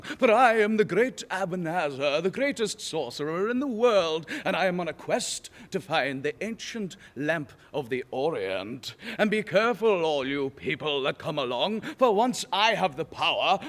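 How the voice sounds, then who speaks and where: A man's voice: high-pitched, fairly bright tone. A man playing a boastful, child-hating pantomime sorcerer, speaking with theatrical menace in character. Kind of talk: in-character pantomime villain interview. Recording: long hall reverb.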